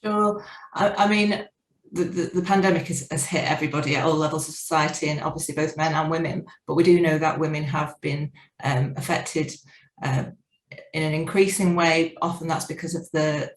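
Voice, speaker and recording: A woman in her forties, not local to Northern Ireland, answering interview questions in a measured way with frequent restarts. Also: low-pitched, steady tone, reverberant, rough recording. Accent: non-local, unplaced. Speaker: guest, business organisation director.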